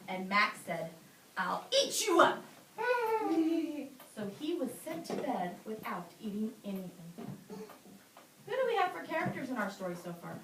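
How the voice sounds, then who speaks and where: A young woman teaching a class, reading a storybook aloud with animated, dramatic delivery and asking the children questions. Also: mid-range voice, moderate pace, pauses often, a little hiss or hum. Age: young adult. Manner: animated, swells loud for dramatic lines, drops soft for quiet ones. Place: school classroom. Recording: echoey classroom from far off.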